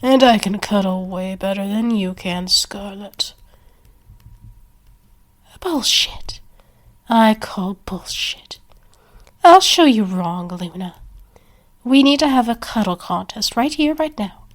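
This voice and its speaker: A woman's voice: medium pitch, lively intonation, takes it slowly, pauses often. A woman performing an acted ASMR roleplay.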